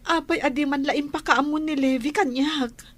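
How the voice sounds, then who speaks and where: A woman's voice: sits high, steady tone, normal speed, natural voice. A woman acting in a radio drama as an anxious but determined young pregnant wife. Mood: anxious, upset.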